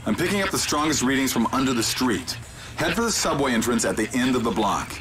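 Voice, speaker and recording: A male actor plays a commanding man guiding a soldier through an alien invasion in a video game. His delivery is businesslike and technical, with a lively, wide-moving pitch. Music plays behind him. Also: quick pace, natural voice, poor audio. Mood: alert, directing. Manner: clipped, instructive, technical, businesslike. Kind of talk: scripted video game voice acting.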